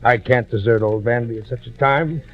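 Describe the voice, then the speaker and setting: An actor playing a brisk, patronizing older businessman in 1940s radio drama, authoritative and given to repeating his words. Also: mid-range voice, close to an everyday voice. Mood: blustery concern. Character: brisk, fussy, patronizing male boss, wealthy and older. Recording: slightly muffled vintage radio recording.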